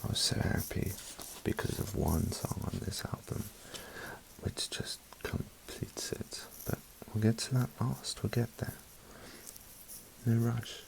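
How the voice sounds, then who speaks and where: A man from South Africa with a mixed British accent, giving an unhurried, soft-spoken ASMR album review in a half-whispered voice with wry asides, close to the mic. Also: medium pitch, creaky, low-quality recording.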